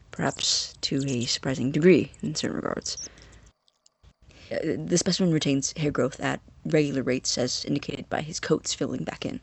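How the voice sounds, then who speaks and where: An earnest young man of science dictating a private lab log. His voice is a little high and husky, recorded close and dry. He phrases things formally but haltingly, as in an intimate audio drama. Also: steady tone, dry recording. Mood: musing. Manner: formal wording, hesitant, halting.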